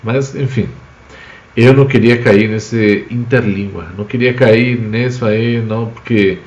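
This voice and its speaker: A man's voice: fairly low pitch, steady tone, unhurried, darkish tone, echoey room, dull audio. A man from Spain, a native Spanish speaker with a Spanish accent, explains words with examples in an informal live language lesson as the teacher. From Spain, Basque Country.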